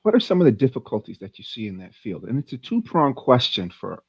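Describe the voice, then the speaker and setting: A man's voice: mid-range voice, big pitch swings, brisk, creaky, slightly rough, no room sound. A man co-hosting a podcast interview, chatty and easygoing, asking long rambling questions. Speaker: podcast co-host. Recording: dull lo-fi dry sound.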